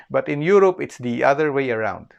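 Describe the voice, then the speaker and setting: A man's voice: medium pitch, gravelly, dull audio. A man with a Filipino accent giving an informal explanatory history lecture, repeating phrases for emphasis and often checking in with "okay". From Philippines.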